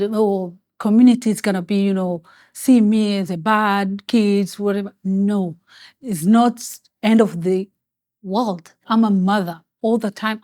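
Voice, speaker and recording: Woman from Tanzania, mother, earnest, unhurried delivery, dry close sound. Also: mid-range voice, mellow, no echo. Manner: earnest, pleading with kids to open up. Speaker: parent. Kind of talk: community discussion.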